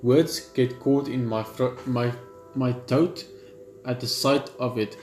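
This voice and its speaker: Echoey man reading game text haltingly. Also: medium pitch, steady tone, a little mellow. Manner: halting, repeats words while reading. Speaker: gaming video host. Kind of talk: reading game text aloud with casual asides. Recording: echoey room with faint game music. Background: game music.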